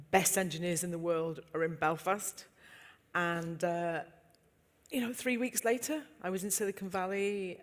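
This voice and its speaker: A woman with a Yorkshire accent, speaking upbeat as a tech entrepreneur on a conference panel. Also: lowish voice. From Northern Ireland, raised in Yorkshire. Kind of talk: panel discussion. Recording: reverberant conference hall.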